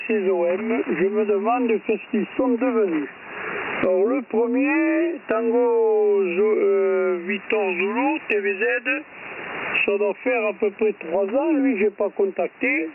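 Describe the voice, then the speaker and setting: A man chatting casually as an amateur radio operator over a radio transmission, repeating his phrases. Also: high-pitched. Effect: ham radio transmission. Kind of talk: casual ham radio exchange. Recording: noisy, tinny shortwave radio link.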